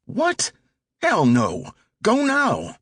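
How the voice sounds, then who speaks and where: Man voicing a pushy teenage getaway driver, raised pitch. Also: wildly swooping pitch, very slow, fairly bright tone, slightly rough, no echo, studio quality, heavily acted. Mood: impatient, pushy. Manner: brusque, slangy. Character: teenage male getaway driver, impatient, pushy, coarse robber.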